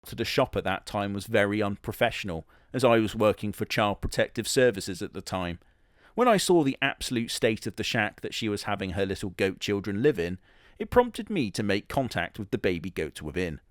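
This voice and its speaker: A man narrates a comic story with sardonic, crude asides and casual swearing. His voice sits a little low and the recording is dry and close, with no room sound. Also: big pitch swings, fairly quick, dry recording. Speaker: comedy narrator in character.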